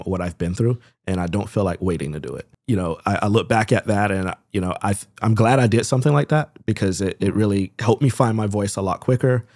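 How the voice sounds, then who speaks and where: A man's voice: sits low, a little mellow, no room sound, studio quality. A young man from Florida running for Congress, answering interview questions earnestly with frequent ums and uhs. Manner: earnest, fills with "um" and "uh". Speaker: congressional candidate.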